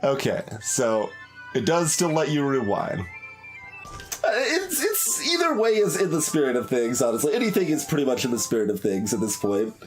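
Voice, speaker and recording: A man's voice: mid-range voice, wildly swooping pitch, normal speed, soft background music. A man giving casual, rambling playthrough commentary as a video game streamer, musing aloud as he goes.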